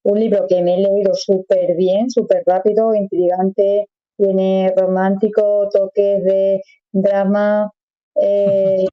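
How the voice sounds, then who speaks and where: A woman's voice: medium pitch, level tone, slow, dull audio. A woman interviewing an author in a chatty, encouraging way, often ending with tag questions. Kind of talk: friendly casual interview.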